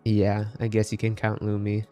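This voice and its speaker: A man's voice: on the low side, monotone, moderate pace, mellow, soft background music. A man from the Philippines chatting casually as he streams a game live. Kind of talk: casual gaming livestream chat.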